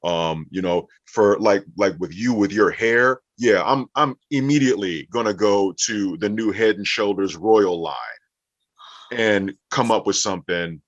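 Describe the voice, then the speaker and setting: Very deep-voiced man explaining, echoey room. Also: a little slow. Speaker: voice actor being interviewed. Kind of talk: conversational interview explanation. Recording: echoey room, dull muffled audio.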